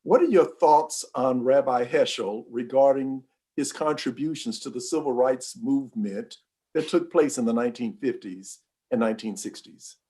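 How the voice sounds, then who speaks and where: A man moderating a panel discussion, asking polite, measured questions with filler uhs. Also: mid-range voice, mellow, breathy edge.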